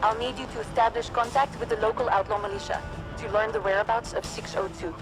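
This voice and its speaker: An actress playing a calm, commanding resistance leader in a video game cutscene, speaking in a measured, authoritative way. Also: female voice, on the high side, music in the background, close to an everyday voice, low-quality recording. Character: composed resistance leader woman planning missions, calm and commanding. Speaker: mission commander.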